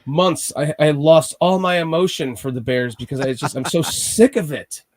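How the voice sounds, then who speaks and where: Slightly raspy man with a cold. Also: fairly high pitch, normal speed, darkish tone. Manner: rambling, restarts often, nervous fan talk. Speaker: podcast host. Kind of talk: casual sports podcast chat.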